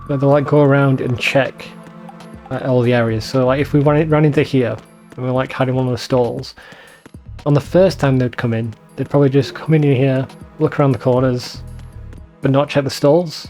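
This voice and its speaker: Mellow man giving casual game commentary. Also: mid-range voice. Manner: rambling, conversational, swears casually. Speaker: let's play host.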